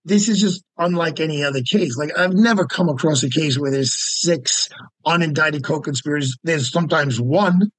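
A man's voice: fairly high pitch, moderate pace, no echo. A man, a lawyer guest talking casually and emphatically, often repeating words as he makes his point. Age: 50s. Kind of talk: casual podcast interview.

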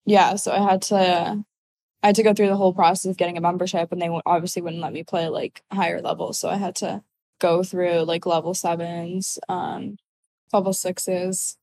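A woman's voice: on the low side, moderate pace, a little hiss or hum. A woman in her twenties from Ukraine, answering interview questions casually with lots of fillers. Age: 20s. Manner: relaxed, uses many fillers like "like" and "so yeah". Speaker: guest, college athlete.

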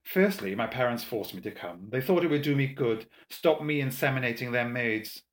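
A man plays an arrogant young English gentleman from a storybook. He is theatrically scornful and full of mischief, leaning on his words in a slow, mocking drawl. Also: mid-range voice, animated, reverberant, natural voice. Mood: haughty, defiant. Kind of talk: audiobook character dialogue.

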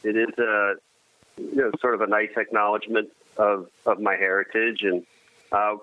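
A man's voice: lowish voice, little pitch movement. Man on a tinny phone line. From United States. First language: Armenian. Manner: halting, many restarts, self-deprecating humor. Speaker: guest. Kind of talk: casual conversation.

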